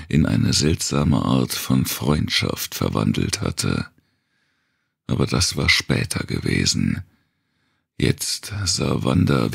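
A man narrating a dark thriller audiobook in a gravelly, husky voice, measured and grave. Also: very deep voice, no room sound, clean studio sound.

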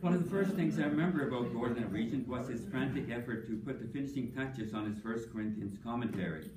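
An older man giving a prepared tribute speech at an academic conference, measured and dry-humored, with frequent ums. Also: medium pitch, a crowd in the background. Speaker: panelist speaking at an academic tribute session. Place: conference hall. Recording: echoey hall, voice from a distance.

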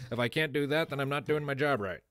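A man's voice: mid-range voice, fast, a bit bright, clear, resonant tone, no room sound, clean studio sound. A man hosting a casual podcast chat as game master, talking animatedly and swearing casually.

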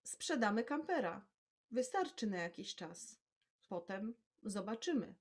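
A woman reading out the quiet, despairing words of a husband in a spoken story. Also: mid-range voice, big pitch swings, unhurried. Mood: defeated.

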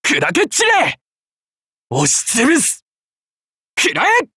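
Breathy young hero swordsman. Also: very high voice, wildly swooping pitch, slow pace, no echo, studio quality, exaggerated voice. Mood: fervent, commanding. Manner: soft-spoken, polite, turning to fervent battle cries. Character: young male Greek hero swordsman, gentle, hesitant, dutiful. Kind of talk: anime mobile game battle voice lines.

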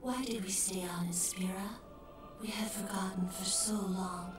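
An actress playing a sorrowful female spirit who speaks in a hushed, partly whispered voice, from a game cutscene. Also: medium pitch, fairly even intonation, unhurried, natural voice. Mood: wistful. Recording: distant echoey game audio with music.

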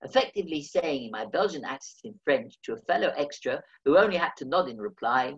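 A man's voice: fairly high pitch, lively intonation, a little fast, muffled recording. A man, reading his own memoir aloud over a video call with dry, wry humor. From England. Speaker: memoir reader.

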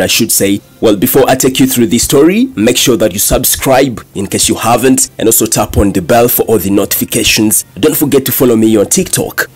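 Ugandan male news vlogger, hoarse with a cold, chatty delivery. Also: medium pitch, darkish tone. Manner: conversational, direct to viewers. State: hoarse from cough and flu. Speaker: news vlog host. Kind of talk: news update narration.

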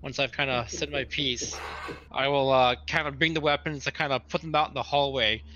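A man's voice: medium pitch, fairly even intonation, brisk, sharp tone. A man playing a tabletop roleplaying game, casually explaining what his character does. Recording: dull lo-fi sound, faint noise.

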